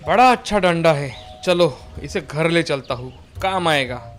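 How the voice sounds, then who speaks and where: A man plays a simple storybook farmer. He is practical and plain-spoken, in a slightly high voice over faint music. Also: animated, measured pace, a bit bright. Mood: matter-of-fact.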